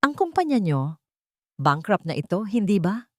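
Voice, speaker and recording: A woman's voice: medium pitch, big pitch swings, moderate pace, no echo, clean studio sound, natural voice. Woman playing a resolute, forgiving young wife. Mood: firm reassurance.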